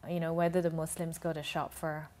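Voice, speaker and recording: Woman, lowish voice, talk-show guest, explanatory and halting. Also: level tone, a little mellow. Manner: thoughtful, explanatory, speaks in halting restarts. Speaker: food and travel blogger, guest. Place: TV studio.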